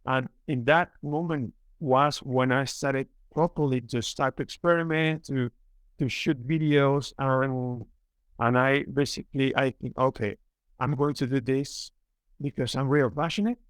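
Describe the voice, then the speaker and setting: A young man from Mexico City with a Mexican Spanish accent, speaking English as a guest on a podcast interview, earnest and halting, with many fillers and restarts. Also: medium pitch, fairly even intonation, smooth, dry recording. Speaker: filmmaker guest.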